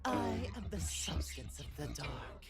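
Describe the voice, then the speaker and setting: A woman voicing a sadistic, gloating female monster of darkness in a horror game, with theatrical, drawn-out taunts and whispers. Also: sits low, big pitch swings, vocal fry, heavily acted. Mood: sinister, gloating. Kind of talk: horror visual novel voice acting.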